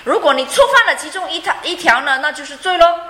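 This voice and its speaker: A woman's voice: high voice, fast talker, bright, forceful tone. A woman interpreting a sermon phrase by phrase, fluent but with frequent fillers and light laughs. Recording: echoey room.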